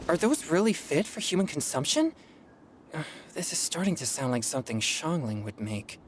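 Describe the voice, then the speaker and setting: A woman's voice: deep voice, big pitch swings, moderate pace, slight background noise. A woman voicing a polite, earnest young boy exorcist with a hesitant, easily flustered manner in a video game. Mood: bewildered.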